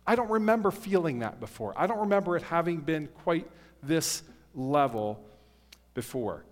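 A man's voice: on the high side, expressive, moderate pace. A man in his fifties, a pastor, speaking to his congregation in a conversational, earnest way with frequent fillers and restarts. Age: 50s. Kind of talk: sermon. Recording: long hall reverb.

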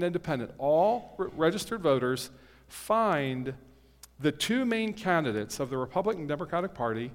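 A man in his fifties, a pastor, speaking to his congregation in a conversational, earnest way with frequent fillers and restarts. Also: high voice. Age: 50s. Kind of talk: sermon. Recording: long hall reverb.

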